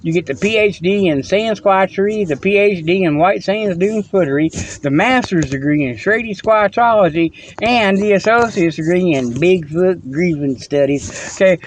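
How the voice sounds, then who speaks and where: A man with a high voice rambles on, chuckling and restarting his sentences as he teases. He records it himself on a phone. A faint hum of background noise sits under him. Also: normal speed, rough recording. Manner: playful, teasing. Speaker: host. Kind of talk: rambling comic monologue.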